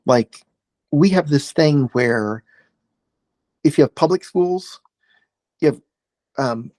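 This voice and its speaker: A man's voice: a little high, lively intonation, takes it slowly, a little mellow, no echo. An American man in his forties from Oregon, a podcast guest chatting casually over a video call, rambling with many fillers and restarts. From Oregon, USA. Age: 40s. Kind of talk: casual conversation.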